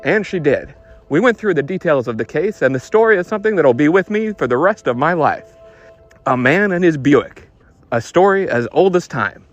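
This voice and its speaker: A man's voice: on the high side, animated, normal speed, highs cut off, faint music behind, pitched above the performer's own voice, close to an everyday voice. A man playing a hard-boiled 1940s private detective in a radio noir parody, wisecracking in clipped old-time gumshoe slang. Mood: brooding, dramatic.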